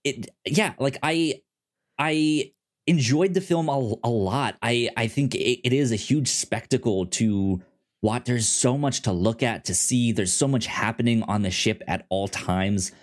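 A man's voice: mid-range voice, a little slow. A man co-hosting a casual film discussion, stammering and repeating words as he works through his thoughts. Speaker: podcast co-host.

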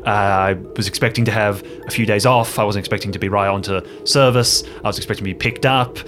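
A man's voice: fairly low pitch, fairly even intonation, fast, music in the background, lightly acted. A man playing an anxious, apologetic park ranger who rambles and stammers in a tabletop game. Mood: flustered, apologetic. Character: flustered, apologetic, anxious male park ranger new to the job.

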